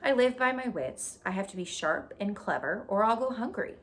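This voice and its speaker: A woman's voice: mid-range voice, animated, normal speed, fairly bright tone, voice pushed up, natural voice. A woman voicing a female spider in a children's storybook, with a thin, pleasant voice and a frank, breezy, bloodthirsty manner. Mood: defensive, proud. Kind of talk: children's storybook read aloud.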